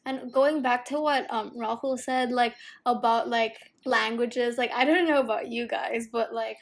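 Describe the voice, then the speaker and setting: Woman co-host raised in Singapore, higher pitch, hesitant and filler-heavy casual talk. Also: fairly even intonation, unhurried, gravelly. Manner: hesitant, many fillers and restarts. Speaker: podcast co-host. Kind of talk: casual discussion.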